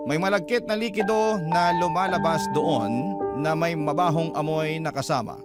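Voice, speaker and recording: Man reading a letter over loud music. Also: a little high. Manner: earnest dramatic reading. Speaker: radio drama narrator. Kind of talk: first-person reading of a listener's letter.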